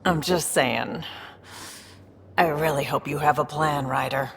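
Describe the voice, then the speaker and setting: Very low-voiced streetwise space smuggler. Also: female voice, fairly even intonation, measured pace, a bit bright, full character voice. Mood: wry, teasing. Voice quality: gravelly, low. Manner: wry, sly, self-assured. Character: shrewd, wry, streetwise female smuggler in space. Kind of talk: video game dialogue. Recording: reverberant room with faint background noise.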